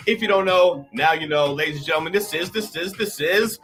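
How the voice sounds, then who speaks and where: A man's voice: on the high side, fairly quick, a bit bright, faint music behind. A man co-hosting a casual podcast chat, opening with hype-man calls and emphatic repeats. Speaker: podcast co-host.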